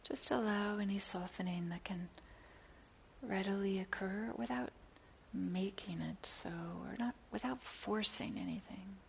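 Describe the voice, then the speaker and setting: A woman leads a guided meditation in a gentle, hushed voice that often thins almost to a whisper. Her delivery is very slow, with short phrases separated by long silences. Her pitch sits in a middle range, and a light hiss runs behind the recording. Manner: soft, breathy, near-whispered delivery; gentle, unhurried, with long pauses. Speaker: meditation teacher. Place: meditation center. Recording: clear background hiss.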